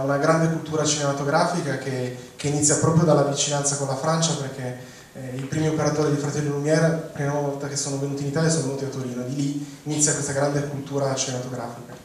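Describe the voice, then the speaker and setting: Male director guest, rapid delivery, recorded from afar in a reverberant hall. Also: on the high side, flat delivery, a little hiss or hum. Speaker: film director. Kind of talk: guest remarks. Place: cinema hall. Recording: echoey hall, distant mic.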